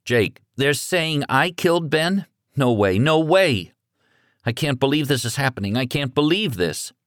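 A man's voice: medium pitch, big pitch swings, fairly bright tone, no room sound, clean studio sound, pitched above the performer's own voice. A man voicing a scared, shaken teenage boy who rambles in panic. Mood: panicked. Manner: rapid, rambling, voice rising.

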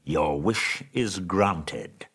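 A man reader performs a commanding old wizard in a fantasy storybook. He takes his time, with dry authority and wry humour. The voice is deep-set and rough. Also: very animated, fairly bright tone, exaggerated voice. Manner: slow, dryly authoritative. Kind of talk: storybook character voice.

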